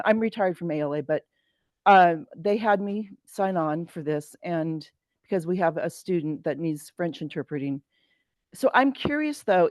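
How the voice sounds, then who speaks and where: A woman's voice: lowish voice, darkish tone, no room sound. An older woman, a retired teacher, asking a question in an online meeting with many fillers.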